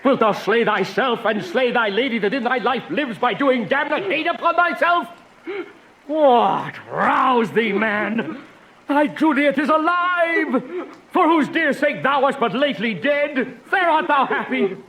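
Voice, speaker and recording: A man's voice: extremely high pitch, lively intonation, measured pace, pushed and bright, heavily acted, low-quality recording. A man playing a stern holy friar giving counsel in a Shakespearean radio drama, with emphatic, exhorting theatrical delivery. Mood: scolding.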